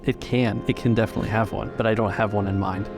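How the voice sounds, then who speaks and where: A man working as a game master, narrating a tabletop roleplaying game in a measured, descriptive way. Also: lowish voice, fairly even intonation, creaky, music in the background. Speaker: game master and podcast host.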